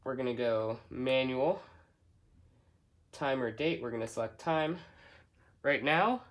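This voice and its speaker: A man doing an unscripted product walkthrough, halting as he thinks aloud step by step. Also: medium pitch. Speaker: hobbyist product reviewer. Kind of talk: unscripted unboxing and setup walkthrough.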